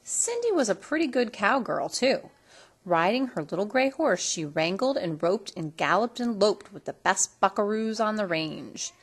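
A woman reads a children's story aloud. Her mid-pitched voice is husky and moves up and down a lot, in an expressive storytelling style. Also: normal speed. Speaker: storybook reader.

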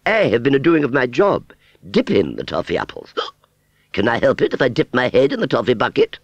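A man's voice: on the high side, huge pitch swings, moderate pace, breathy edge, no room sound, muffled recording, voice pushed up, heavily acted. A man voicing a vain, haughty female fairground doll in a storybook, with an affectedly genteel but ungrammatical way of speaking.

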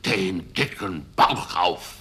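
A man's voice: low voice, lively intonation, slow, a bit bright, slightly rough, full character voice. An actor playing an indignant, good-hearted huntsman in a fairy-tale radio play, declaiming and exclaiming. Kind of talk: 1970s German fairy-tale record acting.

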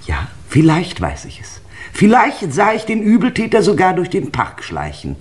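A woman's voice: lowish voice, huge pitch swings, moderate pace, darkish tone. An actress in a German crime radio play, playing a loyal, accusing woman of a manor household, dramatic and pointed. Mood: insinuating.

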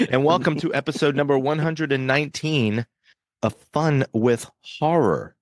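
A man's voice: mid-range voice, no echo, clean studio sound. A man co-hosting a horror movie podcast in casual chat, joking and laughing often. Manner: jokey, laughs often, upbeat plugs. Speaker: podcast co-host.

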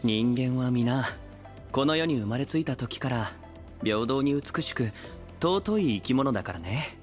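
A man's voice: mid-range voice, lively intonation, unhurried, faint music behind, lightly acted. Man acting a serene, lilting young idol. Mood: serene, affectionate. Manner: gentle, composed, refers to himself by name. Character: serene, ethereal, lofty young male idol. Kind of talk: audio drama acting.

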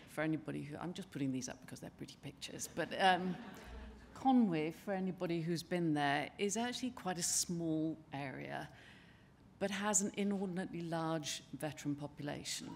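An older woman giving a conference presentation at a lectern in a large wood-panelled hall, speaking in a measured, conversational way with frequent ums and restarts. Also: low-pitched, gravelly, slight background noise. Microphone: lectern microphone.

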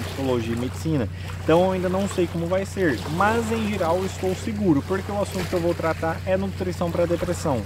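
A man in his twenties with a Brazilian accent, explaining things informally to camera as a vlogger. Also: fairly high pitch. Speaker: vlogger giving nutrition advice. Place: outdoors in a sunny park-like area. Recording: noisy outdoor recording, clear background noise.

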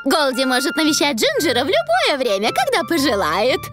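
A woman's voice: high-pitched, huge pitch swings, fairly quick. A woman voicing a friendly, helpful rabbit shopkeeper in a dubbed preschool cartoon. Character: friendly, chatty grown-up female rabbit shopkeeper. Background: light music.

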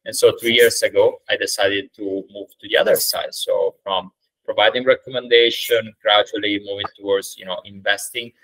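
A man in his thirties from Italy with an Italian accent, answering interview questions over a video call in a measured way, with many ums and uhs. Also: fairly low pitch, fairly even intonation, a bit bright, rough recording. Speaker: startup founder, guest. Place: indoors, plain room. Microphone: video call webcam.

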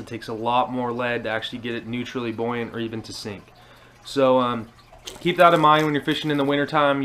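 A man's voice: mid-range voice, fairly bright tone, a little hiss or hum. A man in his twenties with an American accent, giving a casual how-to talk to a camera in a living room. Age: 20s. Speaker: fishing vlogger. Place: indoors, small living room. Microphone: action camera on table at arm's length.

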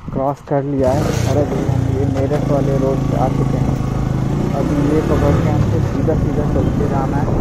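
A man chatting casually as he rides a motorcycle on highways, talking his ride through for his channel and thinking aloud as he goes. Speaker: motovlogger, channel host. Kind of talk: casual running commentary while riding a motorcycle. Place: outdoors on a motorcycle on highways and city roads. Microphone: cheap camera setup mounted on the bike or helmet. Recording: heavy wind and traffic noise. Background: road traffic and engine noise.

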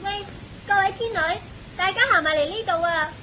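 Very high-voiced heavenly maiden. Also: female voice, a little fast. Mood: innocent, curious. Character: young heavenly maiden, innocent, curious, pleasure-loving. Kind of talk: radio drama acting. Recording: faint hiss and ambience.

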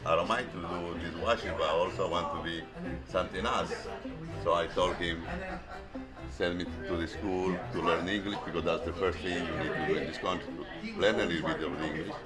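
A man's voice: deep voice, steady tone, a little fast, low-quality recording. A man in his fifties from Ecuador with an Ecuadorian Spanish accent, a restaurant owner casually telling his story in an interview. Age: 50s. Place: restaurant dining room. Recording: loud music behind the voice.

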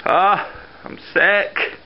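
A young man with a blocked nose from a cold, chatting casually into a handheld camera and laughing often. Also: drawn-out words. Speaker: vlogger. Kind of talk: casual daily vlog chat. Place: bedroom at home, later a busy cafe. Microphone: handheld camera at arm's length. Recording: slightly dull lo-fi camera audio, busy crowd.

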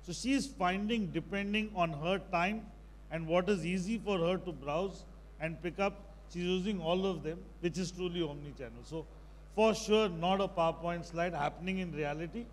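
Emphatic panelist. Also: male voice, sits high, a little hiss or hum. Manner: emphatic, storytelling. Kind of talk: conference panel discussion.

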